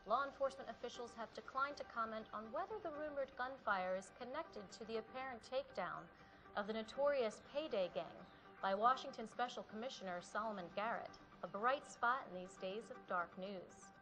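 A woman's voice: a little high, brisk, faint music behind, low-quality recording. An actress playing a serious female TV news anchor, reading a formal scripted report. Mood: serious, businesslike. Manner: formal, even newsreading.

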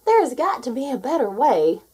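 An earnest, fretful little storybook bunny, as a woman acts it out. It exclaims in a high, very changeable pitch with a husky edge. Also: sing-song, normal speed, dark tone, heavily acted. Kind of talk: children's storybook read aloud.

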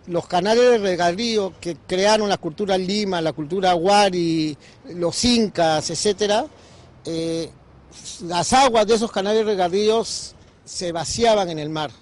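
A man's voice: high-pitched, measured pace. A man, explaining history in an outdoor interview on a handheld microphone. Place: outdoors, on a seafront overlook. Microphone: handheld reporter's microphone.

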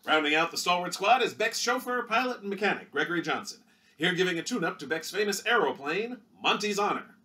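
A man's voice: lively intonation, fairly quick, sharp tone. A man doing a brassy 1930s newsreel announcer. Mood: hearty. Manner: booming, showy delivery.

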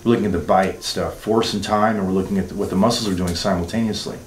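Low-voiced middle-aged dentist, echoey room. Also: male voice, steady tone, fast talker. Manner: leading, uses tag questions and jargon. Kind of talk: clinical interview with a patient. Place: dental clinic treatment room. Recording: echoey clinic room, faint hiss.

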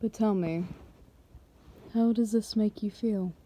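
Woman playing a slow-talking, teasing female boss. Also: medium pitch, mellow. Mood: teasing, seductive. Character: confident, teasing female boss confessing to an employee. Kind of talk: ASMR roleplay.

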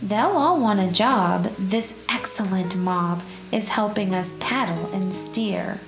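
A woman narrating a rhyming children's picture book in an expressive, measured storytelling way. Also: mid-range voice. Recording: roomy echo, music behind. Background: plucked guitar music.

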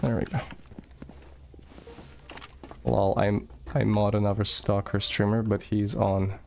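A man's voice: lowish voice, level tone, slow pace, creaky, a little mellow, a little hiss or hum. A young man from Moldova, chatting casually while streaming a game, swearing freely and reading chat aloud. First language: Russian.

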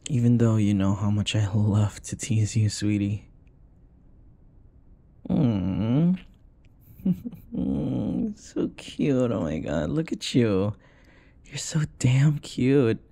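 A man acts out an affectionate young boyfriend in an intimate audio roleplay. His voice is breathy and gravelly, murmured softly and tenderly. He takes his time, teasing his partner in a gentle, comforting way. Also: lowish voice, expressive, drawn-out words, pauses often, full character voice. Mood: playful teasing.

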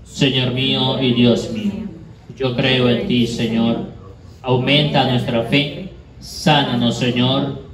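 Solemn priest in an echoey room. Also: male voice, medium pitch, level tone, a crowd in the background. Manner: solemn, measured recitation. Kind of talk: liturgical prayer at Mass. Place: small bare indoor room. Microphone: handheld microphone. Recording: echoey room, distant, background noise.

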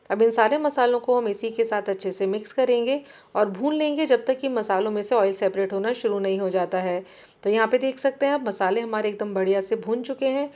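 A woman's voice: on the high side, dark tone. A woman hosting her home cooking channel, explaining each step of a recipe in a friendly, easy voice-over.

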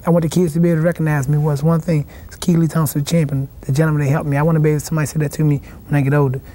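A young man, a boxing champion answering in a TV studio interview, halting and restarting his words. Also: on the high side, little pitch movement, warm tone. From South Carolina, raised in Washington, D.C. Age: young adult. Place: TV studio set.

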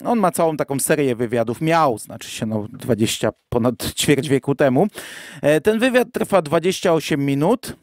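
A male podcast co-host with a fairly high-pitched voice discusses and reviews in a casual, discursive manner. The sound is dry and close to the microphone. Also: lively intonation, moderate pace, dry recording. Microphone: close microphone on a remote virtual-studio call.

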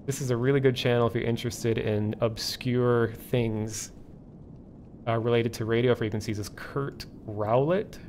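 A man hosting a livestream, explaining things casually and off the cuff in a halting, thinking-aloud way. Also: mid-range voice, steady tone, darkish tone, a little hiss or hum. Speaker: livestream host. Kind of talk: casual live explainer, unscripted.

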